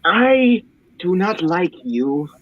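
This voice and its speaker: A man's voice: high voice, sing-song, extremely slow, dull audio. A man playing in a tabletop game, chatting casually and making wry, sarcastic quips. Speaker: player.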